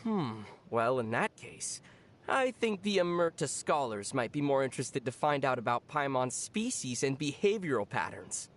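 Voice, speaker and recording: A woman voicing a teasing, deadpan young traveler in a video game, with a dry manner. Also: rumbling low, normal speed, a little hiss or hum. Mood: wry. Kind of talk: video game character dialogue.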